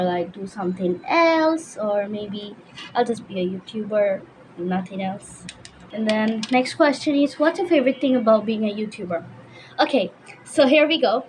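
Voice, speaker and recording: A woman's voice: medium pitch, slight background noise, poor audio. A fifteen-year-old young woman, chatting casually as a YouTuber answering viewer questions. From Bangladesh, raised mostly in Dhaka. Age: teenager, fifteen.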